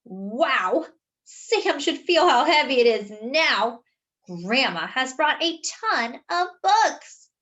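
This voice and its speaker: A woman reads a picture book aloud in a bright, fairly high voice. Her delivery is animated and very varied in pitch, shaped for young children. She narrates as a storyteller, expressively and at an unhurried pace. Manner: animated, pausing to explain words.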